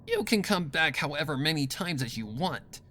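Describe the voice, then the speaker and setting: A performer voicing a cold, sadistic villainess mare who taunts in a drawn-out, sinister way, for a storybook reading. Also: mid-range voice, very animated, bright, forceful tone, a little hiss or hum. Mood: mocking, gloating.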